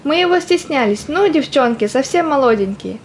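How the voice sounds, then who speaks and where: A woman's voice: high-pitched, lively intonation, normal speed. A woman in an audio play acting a young, stubborn woman soldier who tells of the war in plain words. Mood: earnest recollection. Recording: faint background noise and ambience.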